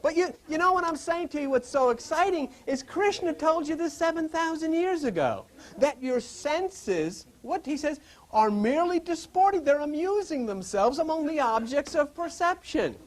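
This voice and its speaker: A man's voice: very high-pitched, lively intonation, normal speed. A male pastor giving an informal sermon, reading scripture and pressing listeners with rhetorical questions.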